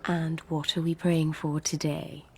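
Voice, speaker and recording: A woman's voice: deep voice, level tone, unhurried, breathy edge, full character voice. An actress playing a seductive, passionate queen in a radio drama, with a teasing, intimate delivery.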